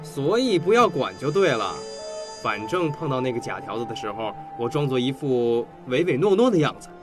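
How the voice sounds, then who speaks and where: An actor playing a cynical teenage high school boy in a radio drama, mocking and flippant.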